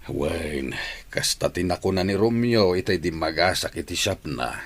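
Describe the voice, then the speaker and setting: A man's voice: sits low, animated, moderate pace, fairly bright tone, heavily acted. A man playing a supportive older uncle in a radio drama, talking in a reassuring, conversational way. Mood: agreeable. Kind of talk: Ilocano radio drama acting.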